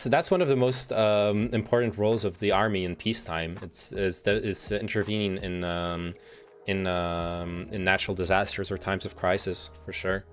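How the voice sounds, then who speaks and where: A man livestreaming in a casual, sweary way, rambling and often restarting his sentences as he reads out statements and reacts to them. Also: on the low side. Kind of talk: casual chat, reading quiz statements and reacting.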